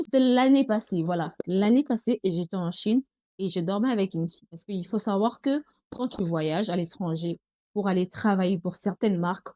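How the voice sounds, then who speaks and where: Congolese woman chatting, mellow and dry. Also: mid-range voice, no room sound. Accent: Congolese French. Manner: chatty, addresses viewers as girls. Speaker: vlogger. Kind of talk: casual talk to camera.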